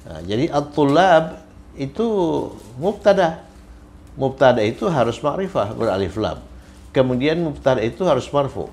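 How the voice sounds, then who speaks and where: A man's voice: medium pitch, big pitch swings, moderate pace, long pauses, a little mellow. A man teaching an Arabic grammar lesson, patiently repeating each example and its translation.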